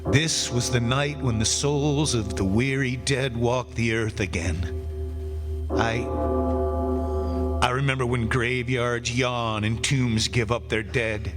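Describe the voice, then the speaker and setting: Man acting a slow, haunted condemned prisoner. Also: mid-range voice, close to an everyday voice. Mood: haunted, exhausted. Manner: slow, brooding delivery breaking into pleading. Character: anguished condemned male prisoner awaiting hanging, desperate and haunted. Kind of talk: old-time radio drama monologue. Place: on stage, live radio play performance.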